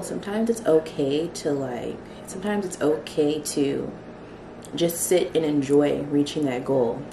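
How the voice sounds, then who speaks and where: A young woman talking casually to her camera at home, soft and reflective, a little emotional. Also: deep voice, recorded from a distance, background noise. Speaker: vlogger. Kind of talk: casual vlog talk. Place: small home kitchen and living room. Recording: roomy, from the mic, music behind.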